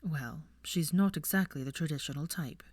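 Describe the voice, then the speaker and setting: A woman voicing a calm, sardonic young aristocratic man in an audiobook story, quiet and matter-of-fact. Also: low voice, moderate pace, breathy edge, no room sound, studio quality. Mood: calm, firm. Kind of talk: audiobook character voice.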